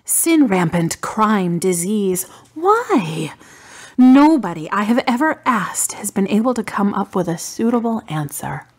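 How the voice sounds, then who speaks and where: A woman acting in a radio drama as a grieving adult daughter full of doubt, pensive and questioning, with a breathy voice. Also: medium pitch, very animated, unhurried, a little mellow. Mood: doubting, challenging. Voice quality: husky, breathy.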